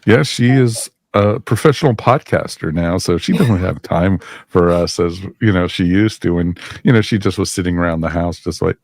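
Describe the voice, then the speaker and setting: A man's voice: low-pitched, normal speed, vocal fry, hardly any pauses, a little mellow, no echo, studio quality. A man hosting a podcast in casual chat, joking and filling with "you know". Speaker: podcast host.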